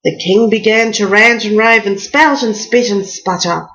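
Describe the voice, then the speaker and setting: A woman's voice: medium pitch, a little slow, a bit bright, rough recording. A woman storyteller reading a rhyming picture book aloud as a bedtime story.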